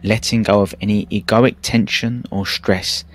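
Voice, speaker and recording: A man narrating a guided meditation in a calm, measured, soothing way with pauses. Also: on the low side. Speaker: meditation teacher. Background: background music.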